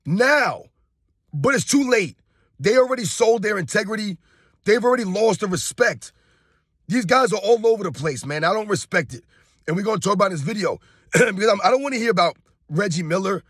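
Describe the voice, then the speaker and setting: A hoarse man in his thirties rants about sports straight to his viewers, emphatic and repetitive. His voice sits fairly high for a man, and the recording is close and dry with no room sound. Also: expressive, a little fast, fairly bright tone, no echo. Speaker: sports commentary YouTuber. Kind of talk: casual opinion rant to camera.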